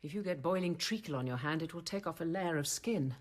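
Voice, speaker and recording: An actress playing a composed, dryly witty convent apothecary nun in a radio drama, measured and articulate. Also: female voice, sits low. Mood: brisk, instructive. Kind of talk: radio drama acting and narration.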